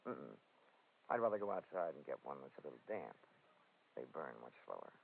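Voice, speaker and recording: An actor playing a wry, flirtatious, hard-boiled insurance investigator in 1940s radio drama style. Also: male voice, very deep voice, big pitch swings, fairly quick, vocal fry, slightly rough, exaggerated voice. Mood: flirtatious, sardonic. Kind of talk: 1940s radio detective acting, first-person narration. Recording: scratchy, tinny 1940s radio recording.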